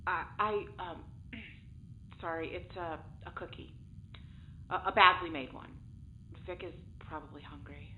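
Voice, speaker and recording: A woman's voice: fairly low pitch, measured pace, pauses often, close to an everyday voice. A woman playing a snarky, defiant test subject in an audio drama, speaking with dry sarcasm. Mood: uneasy. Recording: tinny phone-like channel, faint noise.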